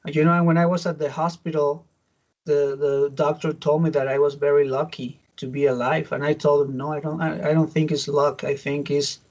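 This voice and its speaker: A middle-aged man from Mexico with a Mexican Spanish accent, a native Spanish speaker giving his testimony as a pastor in an interview, halting with many restarts. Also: on the high side, little pitch movement, darkish tone, muffled recording. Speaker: pastor, guest.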